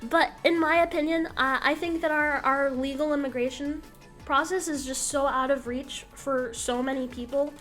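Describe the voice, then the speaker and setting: A woman's voice: high voice, fairly even intonation, moderate pace, a bit bright, faint music behind. A young adult woman streaming, talking casually and candidly and swearing freely.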